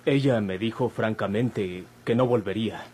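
A Mexican actor playing an earnest young hospital patient in a classic radio soap, polite, measured and guarded. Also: male voice, lowish voice, a little mellow, close to an everyday voice. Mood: polite, candid. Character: earnest young male patient, a bandit's son. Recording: old noisy radio drama recording, hum.

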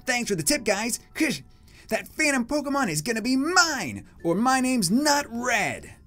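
A man's voice: very high voice, very animated, unhurried, fairly bright tone, soft background music, pitched above the performer's own voice, heavily acted. A man voicing an eager, cocky young boy monster trainer in a comic manga reading. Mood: determined.

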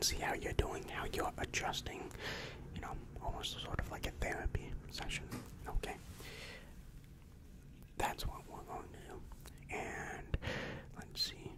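A young man whispering an ASMR roleplay as a helpful, polite demon counselor, soft, hesitant and reassuring, close on the microphone. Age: young adult. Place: small dim room indoors. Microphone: large microphone right in front of the mouth.

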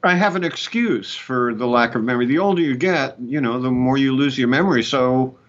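A man's voice: medium pitch, a little fast, dull audio. A man in his sixties, a musician, chatting and telling stories on a headset over a video call from a room at home. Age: 60s. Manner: animated, chatty storyteller, laughs often. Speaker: musician and co-host. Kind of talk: casual conversation on a video call.

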